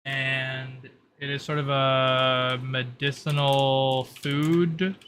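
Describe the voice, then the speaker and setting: A man's voice: mid-range voice, flat delivery, extremely slow, fairly bright tone, a little hiss or hum. A man acting as game master, explaining options in a hesitant, improvised way over an online voice call. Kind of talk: improvised narration of an online tabletop roleplay.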